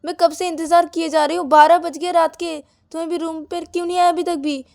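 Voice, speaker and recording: Woman acting high-pitched, bossy, impatient young bride. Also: very animated, mellow. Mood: impatient, exasperated. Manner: demanding, scolding, rapid questioning. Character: bossy, impatient, hot-tempered young bride on wedding night. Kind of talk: acted dialogue in narrated audio story.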